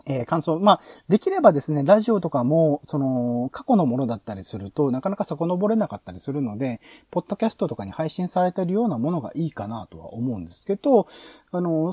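A man hosting a podcast, explaining ideas in a halting, think-aloud manner full of fillers. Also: on the high side, sing-song, mellow, breathy edge, no echo. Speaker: podcast host. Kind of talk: explaining show ideas in a planning talk.

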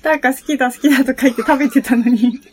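A woman's voice: high voice, rapid-fire, husky. A woman speaking as a guest on a talk show, chatting casually and informally. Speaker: guest, regular listener of the show. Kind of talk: casual chat.

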